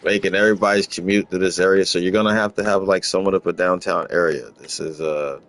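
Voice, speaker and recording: A man from Los Angeles, casually talking through his game in a rambling, relaxed way, often repeating words. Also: low voice, quick pace. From Los Angeles, USA. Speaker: gaming channel host. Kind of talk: casual gameplay walkthrough commentary.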